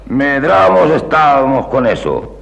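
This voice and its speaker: Gravelly, theatrical hungry traveller. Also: male voice, mid-range voice, sing-song, slow pace, fairly bright tone, full character voice. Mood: wry, demanding. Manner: theatrical, old-fashioned diction. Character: hungry traveller grumbling at an inn, Spanish classic. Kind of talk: vintage radio drama acting. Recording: reverberant archive radio recording.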